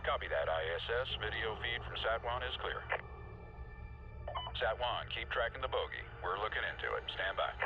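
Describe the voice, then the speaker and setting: An actor playing a terse, composed mission control operator over radio in a video game. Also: male voice, fairly low pitch, lively intonation, normal speed. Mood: guarded. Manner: terse procedural radio talk. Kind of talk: video game cutscene acting. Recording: muffled radio link with static noise.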